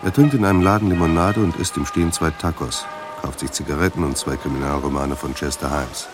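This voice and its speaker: A man's voice: extremely low pitch, fairly even intonation, fairly quick, music in the background. A man narrating a German radio crime drama in a sober, terse, matter-of-fact way. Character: sober noir male narrator of detective story.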